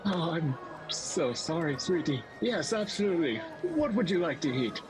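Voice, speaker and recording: A man's voice: a little high, big pitch swings, moderate pace, over music, voice pushed up, poor audio. A man voicing a kindly, hesitant and apologetic old man in a tabletop game. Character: kindly, flustered grumpy old man with quarterstaff.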